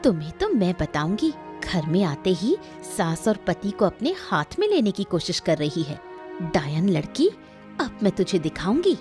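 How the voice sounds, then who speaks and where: A woman voicing a sharp-tongued, scheming mother-in-law in an animated family story, speaking in a bossy, scolding way. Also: on the low side, normal speed, soft background music. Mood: spiteful.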